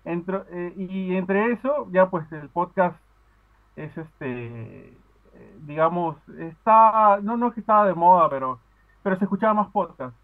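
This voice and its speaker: A man from Peru talks casually over a tinny phone line, his voice higher than most men's. He hesitates a lot, restarting sentences and filling gaps with small words. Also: lively intonation, unhurried. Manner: hesitant, many fillers and restarts. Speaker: podcast caller. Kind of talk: casual chat.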